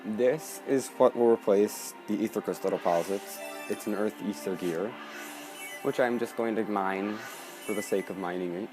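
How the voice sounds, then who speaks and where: A man narrates his playthrough in a casual, conversational way. His voice is fairly low, with faint game music underneath. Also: normal speed. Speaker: let's play commentator. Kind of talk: casual gameplay commentary.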